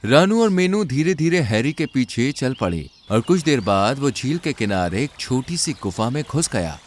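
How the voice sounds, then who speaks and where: A man's voice: mid-range voice, sing-song, normal speed, slight background noise. A man narrating a scripted children's story.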